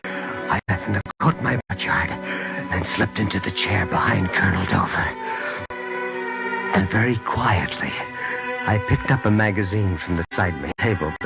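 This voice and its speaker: An actor playing a tense, calculating man who narrates his crime in an old-time radio drama, measured and dramatic. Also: low-pitched, gravelly. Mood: hushed, deliberate. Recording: lo-fi old radio broadcast recording. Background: loud dramatic music.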